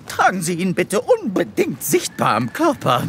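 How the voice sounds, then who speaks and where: A woman's voice: fairly low pitch, wildly swooping pitch, normal speed, faint music behind. A woman voicing an eager, bossy chicken-creature official in a comic science-fiction radio play, gushing and emphatic. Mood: brisk, bossy.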